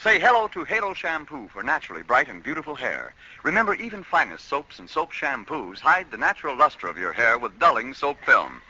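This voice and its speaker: A man's voice: mid-range voice, sing-song, a little fast, hardly any pauses, bright, forceful tone. A man reading a scripted 1940s radio commercial in a polished, emphatic manner. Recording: narrow old radio recording.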